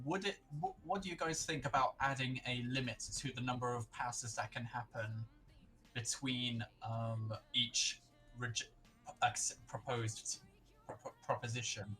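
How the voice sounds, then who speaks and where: Stammering man pitching a rule idea. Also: pushed and bright, low-quality recording. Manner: hesitant, stammers and restarts words. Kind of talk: casual voice chat discussion.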